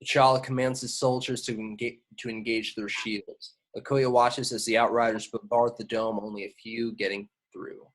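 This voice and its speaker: A man's voice: medium pitch, flat delivery, normal speed, hardly any pauses. A man reading screenplay action lines aloud as narrator in an amateur table read. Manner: stumbles on invented place names.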